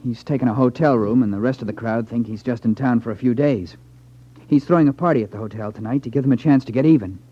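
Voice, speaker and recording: A man's voice: medium pitch, quick pace, lightly acted. Uneasy young playboy played by a man, muffled recording. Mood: earnest. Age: young adult. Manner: earnest, defensive, hesitant. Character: nervous, sheepish young playboy in gambling debt. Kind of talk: 1950s radio crime drama acting. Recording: dull lo-fi 1950s radio recording.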